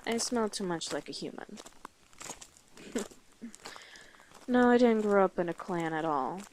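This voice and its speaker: A woman's voice: mid-range voice, a little mellow, slight background noise, natural voice, rough recording. A woman playing a brash, teasing female orc guide in a fantasy audio roleplay, with a blunt, sardonic manner.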